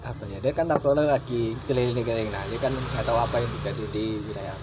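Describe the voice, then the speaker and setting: A man's voice: medium pitch, fairly even intonation, very fast, noisy background. A man with an Indonesian accent giving casual, rambling gameplay commentary, often searching for words. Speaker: game streamer. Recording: loud game music behind voice. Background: loud video game music.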